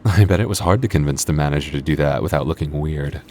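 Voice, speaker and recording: A man's voice: very deep voice, fast, a little mellow, slight background noise. A man playing a cocky, moody male vampire coworker in an intimate ASMR roleplay, teasing in a dry, flirty way. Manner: smug, flirty, dry teasing with sighs and chuckles. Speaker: voice actor in audio roleplay.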